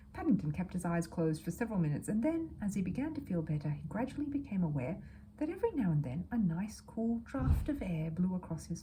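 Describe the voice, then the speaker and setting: A woman reading a children's book aloud as a storyteller, expressive and dramatic, dropping into hushed asides. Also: lowish voice, slight background noise, poor audio. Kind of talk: expressive children's audiobook narration.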